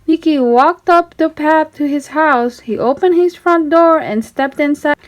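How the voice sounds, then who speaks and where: A woman reading a children's story aloud in an animated, emphatic, child-directed way. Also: high voice, measured pace. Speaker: storybook reader. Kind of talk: expressive children's read-aloud narration.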